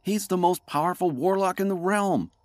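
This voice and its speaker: A man's voice: high voice, normal speed, dry recording, clean studio sound, close to an everyday voice. Wary, wry man playing a dungeon prisoner. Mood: nervous warning. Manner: cautious, wry, explanatory. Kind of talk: comedic fantasy audio drama acting.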